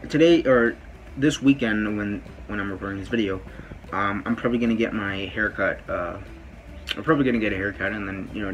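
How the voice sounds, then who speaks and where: A teenage boy with a deep voice talks into a phone camera in a small bedroom. He rambles casually, full of "um" and "like". A faint hum of room noise sits behind him. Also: fairly bright tone, rough recording. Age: teens. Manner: rambling, many fillers and "freaking". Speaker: vlogger. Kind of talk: casual vlog talk to camera. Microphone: phone camera at close range.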